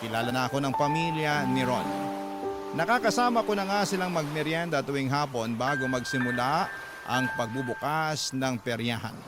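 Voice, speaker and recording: A man's voice: mid-range voice, expressive, moderate pace. A man giving scripted first-person dramatic narration as a radio storyteller. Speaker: storytelling radio host reading a letter. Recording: loud music bed under voice.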